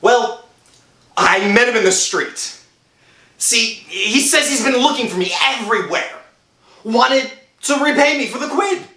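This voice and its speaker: A man playing an anxious, guilt-stricken working-class husband in an old-time radio thriller, speaking in a halting, dramatic way. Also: very high-pitched, expressive, sharp tone, heavily acted. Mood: excited telling. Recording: roomy echo, faint music behind.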